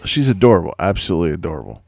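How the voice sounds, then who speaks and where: A man's voice: deep voice, very animated, very fast, creaky, a little mellow. A man hosting a podcast, chatting casually in a laid-back, dry way. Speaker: podcast host. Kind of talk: casual chat.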